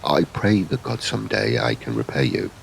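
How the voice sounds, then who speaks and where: An actor playing a humble, awestruck pardoned rebel in a fantasy audio drama, speaking haltingly and with reverence. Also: male voice, low voice, sing-song, creaky, slight background noise, heavily acted, poor audio. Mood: tearful devotion.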